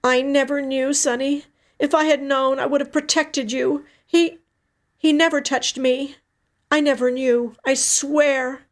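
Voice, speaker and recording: A woman's voice: high voice, fairly even intonation, unhurried, pitched above the performer's own voice. A woman voicing a grieving, protective older sister in an audiobook story, emotional and emphatic in her delivery. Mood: anguished, pleading. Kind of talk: dramatic audiobook character dialogue.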